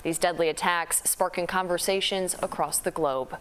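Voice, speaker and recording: A woman's voice: lowish voice, fairly even intonation, normal speed, a bit bright, a little hiss or hum. A woman with an American accent delivering a scripted live report as a news reporter.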